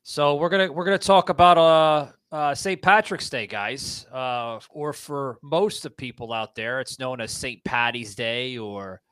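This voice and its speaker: Smooth-voiced man hosting a podcast. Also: medium pitch, a little slow, hardly any pauses, fairly bright tone, no room sound. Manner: rambling, upbeat, many fillers and repeats. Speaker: podcast host. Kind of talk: casual podcast chat.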